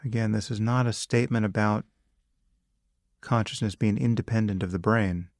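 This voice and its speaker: A man leading a guided meditation as a teacher, speaking calmly and deliberately in short phrases with long pauses. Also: lowish voice, no room sound, studio quality. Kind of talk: guided meditation, spoken instruction.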